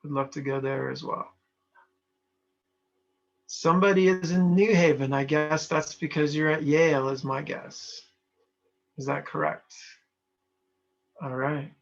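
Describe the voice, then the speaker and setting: A man's voice: a little high, fairly even intonation, measured pace, creaky, pauses often. A man from Montana, a trainer chatting casually with online participants and reading their chat messages aloud. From Montana, USA. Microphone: video call. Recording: lo-fi video call audio.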